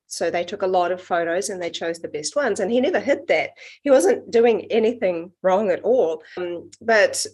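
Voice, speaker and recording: A middle-aged woman with a New Zealand accent, a guest on an interview podcast, explaining her work in an earnest, measured, reflective way. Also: mid-range voice, darkish tone. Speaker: guest, water researcher.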